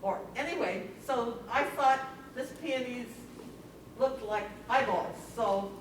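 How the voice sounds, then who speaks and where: A woman presents her photos casually to a club at an unhurried pace. Her delivery is halting and informal. She is picked up from far off in a very echoey room with clear background noise. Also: fairly high pitch. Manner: halting, informal, chuckling between remarks. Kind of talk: casual slide commentary. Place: library meeting room, recorded from afar. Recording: very echoey room, distant mic, noisy.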